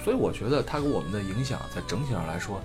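A man's voice: lowish voice, expressive, rapid-fire, music in the background. A middle-aged man co-hosting a podcast, thinking aloud in casual, unscripted chat and stressing his points. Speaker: podcast co-host.

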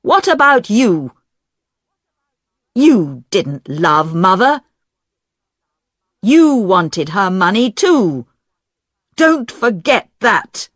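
A woman voicing a grown son who is tense and guilt-ridden, speaking in a low, restrained way. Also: very animated, drawn-out words, long pauses, fairly bright tone, no room sound, clean studio sound, full character voice. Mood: angry.

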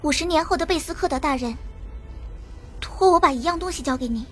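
A woman's voice: high voice, a little fast, over music, natural voice. An actress playing a defiant, plucky young girl fighter in a fantasy radio drama. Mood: sly, taunting.

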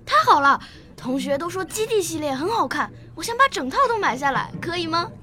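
Bright, high-pitched eager little girl. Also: lively intonation, a little fast, full character voice. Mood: delighted. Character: bright, eager nine-year-old girl, bookish and pleading. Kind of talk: radio drama acting.

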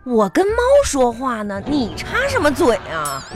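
A bossy, nagging wife speaks in a high, very animated voice. She questions bluntly and insistently, in the style of a radio comedy skit. Music is clearly audible behind her. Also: a little slow. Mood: irritated. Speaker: radio comedy host and performer.

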